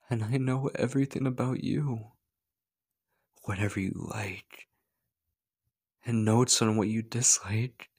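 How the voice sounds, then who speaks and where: A man playing an obsessive, possessive stalker lover in a horror ASMR roleplay, halting and breathy, clingy and menacing. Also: fairly low pitch, darkish tone, heavily acted. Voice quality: husky, breathy. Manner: slow, halting, slipping between breathy whispers and urgent pleading. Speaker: voice actor.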